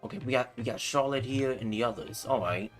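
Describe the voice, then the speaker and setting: A man's voice: mid-range voice, a little fast. A man livestreaming a game with casual, laid-back commentary, often repeating his phrases. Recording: game music faintly behind.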